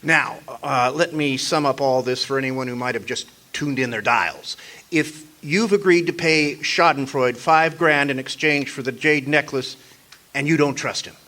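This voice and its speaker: A man's voice: mid-range voice, normal speed, pushed and bright, close to an everyday voice. A man playing a cocky hard-boiled private detective in a comic old-time radio drama, slangy and sardonic. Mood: suave, wry. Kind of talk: 1940s-style comic radio detective acting.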